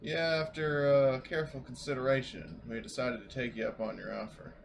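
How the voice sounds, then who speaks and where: Mid-pitched man, noisy gaming mic. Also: fairly even intonation, fairly quick, vocal fry. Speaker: tabletop roleplaying game player. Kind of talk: casual group discussion.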